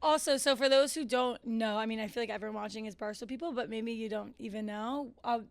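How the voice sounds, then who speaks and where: A young woman in her twenties co-hosting a podcast, chatting casually and saying "like" a lot, with a deep, raspy edge. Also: fast talker. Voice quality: deep, raspy when tired. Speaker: podcast co-host. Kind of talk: casual chat.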